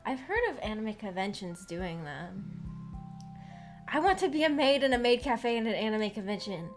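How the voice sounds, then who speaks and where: American girl streamer just chatting, rambly n kinda giggly, stop-start, soft music in the background. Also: on the high side, sing-song, moderate pace. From United States. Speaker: streamer, visual novel book club host. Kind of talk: casual livestream chat.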